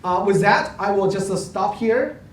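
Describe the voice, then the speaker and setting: A man's voice: sits high, expressive, moderate pace. A man presenting a university program to prospective students, hesitant with many fillers and restarts. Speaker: program speaker at a university info day. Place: lecture hall. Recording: roomy hall, distant mic, faint hum.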